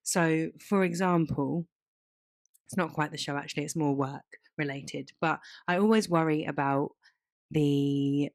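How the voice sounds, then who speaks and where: A woman's voice: low voice, unhurried, vocal fry, a little mellow, no echo, clean studio sound. A woman co-hosting a casual podcast, talking informally and candidly with some self-deprecation. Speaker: podcast co-host.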